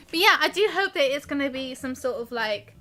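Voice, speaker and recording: A woman chats casually as she streams a game. Her voice is high and bright, rising and falling in an animated, giggly ramble. Soft game music plays behind her. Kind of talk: casual live game commentary.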